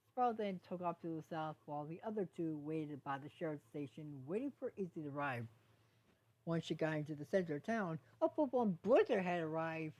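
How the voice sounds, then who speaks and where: A woman narrating fan fiction as an amateur story reader, with a halting delivery that stumbles over words. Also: low voice, a little mellow, low-quality recording.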